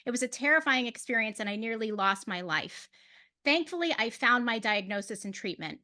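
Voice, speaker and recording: Female moderator and patient advocate, high, forceful bright voice, delivering a prepared conference introduction. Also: lively intonation, brisk.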